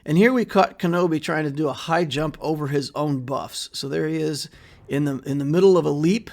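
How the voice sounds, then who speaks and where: A middle-aged man talks through his mobile game progress in an easygoing, rambling way, often pausing on fillers. His voice sits a bit high and has a gravelly edge. He is recorded at his desk in a small room. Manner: relaxed, steady walkthrough with frequent fillers and trailing off. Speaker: mobile game channel host. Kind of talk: casual gameplay commentary.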